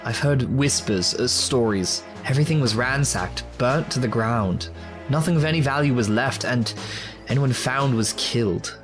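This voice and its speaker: A man's voice: mid-range voice, normal speed, music in the background, close to an everyday voice. An actor playing a defiant, earnest local man in an audio drama, speaking emphatically. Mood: worried. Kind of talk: full-cast audio drama acting.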